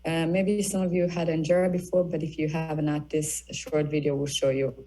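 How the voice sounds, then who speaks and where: A woman's voice: low voice, level tone, normal speed, mellow, recorded from a distance. A woman in her thirties from Ethiopia, giving a presentation talk as guest speaker, with many hesitant fillers. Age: 30s.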